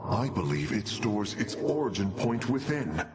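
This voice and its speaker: An actor voicing an eager alien engineer in a video game, formal, precise and earnest. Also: male voice, fairly low pitch, sing-song, measured pace. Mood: intrigued, earnest. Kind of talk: video game cutscene acting. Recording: loud ambient noise behind the voice.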